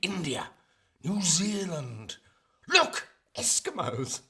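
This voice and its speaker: A man playing an excitable, playful Victorian gentleman in a period radio play, with a breathy, husky voice. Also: sits high, slow pace, full character voice. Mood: playful enthusiasm.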